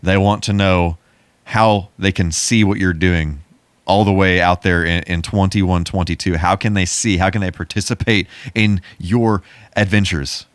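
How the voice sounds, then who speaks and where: A man's voice: low-pitched, steady tone, unhurried, smooth, dry recording. A man co-hosting a podcast in casual chat, with a jokey, mock-grandiose way of addressing listeners. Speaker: podcast co-host.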